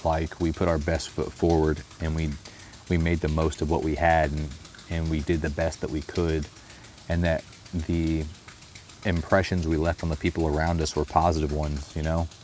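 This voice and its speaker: A man with a very low, deep voice reflects aloud in a personal vlog. He speaks in a thoughtful, unhurried way, often stopping to add "you know". Soft music plays faintly behind him. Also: little pitch movement. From United States. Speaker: vlogger.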